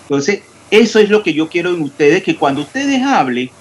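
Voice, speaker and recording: Male chemistry teacher, fairly high voice. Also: very animated, normal speed, a little hiss or hum. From Panama. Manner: stresses clear diction, pronounces every s. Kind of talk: online class discussion.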